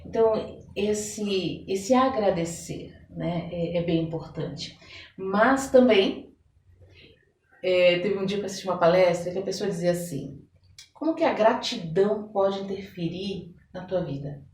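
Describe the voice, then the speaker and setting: A woman with a Brazilian accent explains her work as an interview guest, conversational and illustrative. Her mid-pitched voice sits away from the microphone in a roomy, echoing space, with some noise behind her. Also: unhurried, a little mellow. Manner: explanatory, illustrates with everyday examples and imagined quotes. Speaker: interview guest, holistic therapist. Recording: roomy echo, distant mic, background noise.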